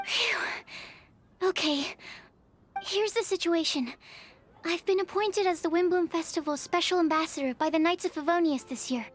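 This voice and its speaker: A woman's voice: very high voice, moderate pace, slight background noise. A woman playing a shy, earnest young alchemist in a video game, with polite, precise, scholarly phrasing. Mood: anxious, earnest. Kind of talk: video game character voice acting. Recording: background music under the voice.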